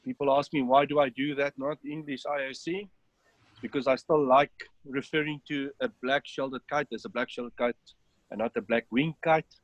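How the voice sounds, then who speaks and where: A man's voice: mid-range voice, steady tone, moderate pace, no room sound, dull audio. A man explaining step by step how to set up a phone app in an online webinar, with frequent ums. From South Africa. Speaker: app developer giving a demonstration. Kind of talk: webinar walkthrough. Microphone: online call.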